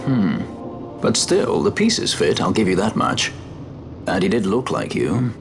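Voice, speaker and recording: A wry sky pirate for a game cutscene. He has a lowish voice that swings expressively, with dry, clipped sarcasm and cool self-interest, over background music. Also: big pitch swings, a little slow, gravelly, heavily acted. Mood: cool, sardonic. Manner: dry, sardonic, laconic. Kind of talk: video game cutscene acting.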